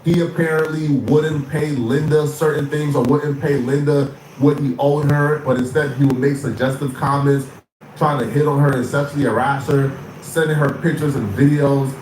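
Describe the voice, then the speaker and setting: A man recapping a news story in a steady, explanatory way, with some fillers. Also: on the high side, normal speed. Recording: echoey room with faint noise.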